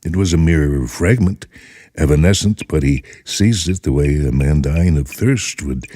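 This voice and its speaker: A man hosting and reading short stories as dramatic narration, measured and deliberate with theatrical pauses. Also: very deep voice, mellow, no echo. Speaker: host and story reader. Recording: music bed behind the voice. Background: music.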